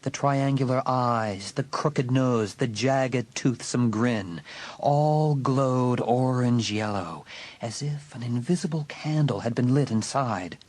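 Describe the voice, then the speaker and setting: A man narrating a horror audiobook in a dramatic, suspenseful way with deliberate emphasis. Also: medium pitch, a little mellow.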